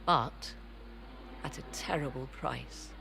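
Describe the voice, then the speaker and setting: An actress playing a haughty, solemn sorceress in a video game, speaking in a grave, theatrical manner. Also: female voice, rumbling low, takes it slowly, vocal fry, exaggerated voice. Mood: grave, impassioned. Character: haughty, solemn sorceress pleading to preserve ancient power.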